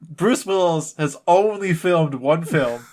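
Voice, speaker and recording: A man's voice: fairly high pitch, expressive, slow, darkish tone. A man co-hosting a podcast in casual, jokey banter, rambling off on tangents. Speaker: podcast co-host.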